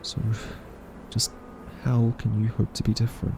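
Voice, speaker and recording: A man playing an earnest, quirky scientist in a roleplay audio drama, soft-spoken, hesitant and argumentative as a thoughtful captive. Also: fairly low pitch, completely flat delivery, normal speed, gravelly, noisy background. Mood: skeptical, reproachful.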